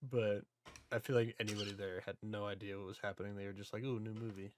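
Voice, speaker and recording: A man's voice: fairly low pitch, level tone, fast, a little hiss or hum. A man chatting casually and informally as a podcast co-host. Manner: informal, self-described history nerd. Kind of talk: casual chat about video game movies.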